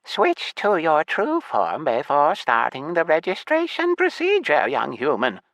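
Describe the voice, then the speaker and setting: A man voicing an elderly female gnome clerk, strict and officious, with an unhurried way of talking, in an audiobook. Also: a little high, huge pitch swings, fairly bright tone, no room sound, voice pushed up, exaggerated voice. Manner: stern, drawn-out, officious speech.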